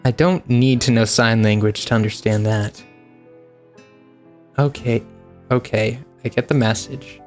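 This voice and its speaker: A young man reads a visual novel aloud on a stream, relaxed and easygoing. His voice sits at an ordinary middle pitch, with casual asides between lines. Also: unhurried, long pauses, faint music behind.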